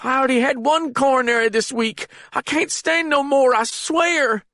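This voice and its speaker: A man's voice: very high voice, normal speed, sharp tone, dry recording, clean studio sound, voice pushed up. A man voicing a panicked older captive who whimpers and pleads in a frantic rush. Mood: panicked pleading.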